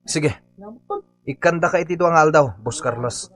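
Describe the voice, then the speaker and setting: A man's voice: on the high side, moderate pace, fairly bright tone, soft background music, close to an everyday voice. A man playing a stubborn, aggrieved Filipino labourer in a radio comedy drama, insistent and accusing. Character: aggrieved, stubborn male labourer demanding his unpaid wages.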